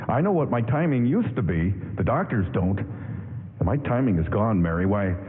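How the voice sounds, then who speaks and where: An actor playing a troubled, earnest young war veteran who stammers and repeats himself, in a 1940s-style radio drama. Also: male voice, fairly low pitch, expressive, normal speed, close to an everyday voice. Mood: troubled, self-doubting. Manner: hesitant, stammering, repeating words. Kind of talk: 1940s radio drama acting, staged live. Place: stage of a town hall, live broadcast. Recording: phone-like AM radio aircheck, echoing hall, music.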